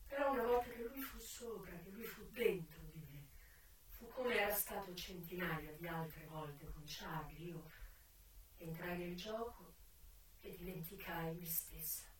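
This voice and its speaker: An actress playing a frank American brothel madam who recalls her youth in a theatrical, expressive monologue with dramatic pauses. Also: medium pitch, natural voice. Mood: intimate, subdued. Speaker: stage actress performing a monologue. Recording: very echoey, distant stage recording with music.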